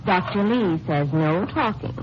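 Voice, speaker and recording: A woman's voice: lowish voice, lively intonation, a little slow, music in the background. An actress playing a tender, teasing young American woman in a 1950s radio drama. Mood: hushed, soothing. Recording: dull, faintly noisy old radio recording.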